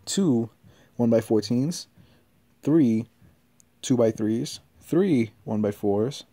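A man giving a casual hands-on tutorial in halting, short step-by-step instructions. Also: medium pitch, warm tone. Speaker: tutorial presenter. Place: carpeted floor in a room at home.

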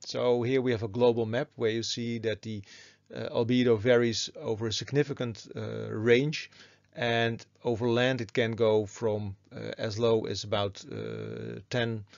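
A man's voice: mid-range voice, a little slow, gravelly, a little mellow, no echo, muffled recording. A man giving a methodical, step-by-step explanatory lecture as a university teacher over slides.

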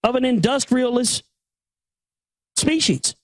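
A man reads and comments in a high-pitched voice. He is blunt and emphatic, hammering points through repetition and swearing freely. The sound is dry, with no room around it. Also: lively intonation, slow pace, no room sound. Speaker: host reading a book with commentary. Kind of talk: impassioned commentary and book reading.

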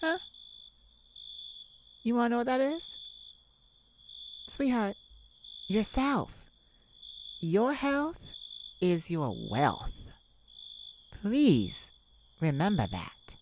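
A woman's voice: mid-range voice, sing-song, darkish tone, a little hiss or hum, rough recording. A woman voicing a sassy, kind-hearted cat friend in an ASMR roleplay, theatrical and teasing as she scolds the listener in a motherly way. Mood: caring, sassy. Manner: theatrical, teasing, drawn-out emphasis. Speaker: audio roleplay performer.

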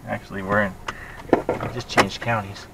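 A man's voice: lowish voice, level tone, slow, gravelly. Chatty American man, noisy camera audio. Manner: informal, chatty, explanatory. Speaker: vlogger. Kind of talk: casual geocaching vlog narration. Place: car interior and open roadside outdoors. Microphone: handheld camera and dash cam.

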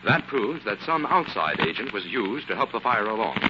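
An analytical scientist crime-fighter in a 1940s radio drama, with a fairly low voice. He explains things with assurance. The recording is muffled and heavy with noise. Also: big pitch swings, moderate pace. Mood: assured.

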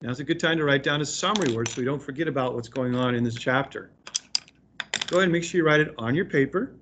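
A man's voice: mid-range voice, quick pace, background noise. A man speaking as an elementary school teacher, reading aloud and explaining to a remote class of children in an encouraging way.

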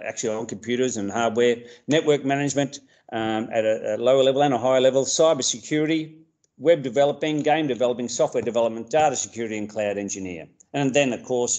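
A man teacher with an Australian accent presenting a course to students, halting often with ums and uhs. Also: medium pitch, darkish tone. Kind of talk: recorded subject talk to students.

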